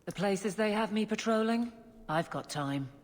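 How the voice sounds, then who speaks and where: An actress voicing a blunt, stern female guard captain in a fantasy video game, speaking in a gruff, matter-of-fact way. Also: medium pitch, lively intonation, moderate pace, big-room sound, lightly acted. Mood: loyal. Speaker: city guard officer.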